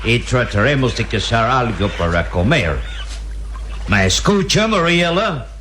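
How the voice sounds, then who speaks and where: An actor playing a ruthless, greedy captain in an old radio drama, with a theatrical, gruff, commanding manner. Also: male voice, medium pitch, sing-song, measured pace, a bit bright, slight background noise. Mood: gruff, dismissive. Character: ruthless, greedy villainous captain, impatient and bullying. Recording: radio drama with prominent background music.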